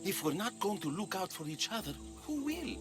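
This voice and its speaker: An actor playing a wily aging former mercenary, an old friend, in a video game. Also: male voice, sits high, big pitch swings, fairly quick, over music. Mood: pleading, friendly.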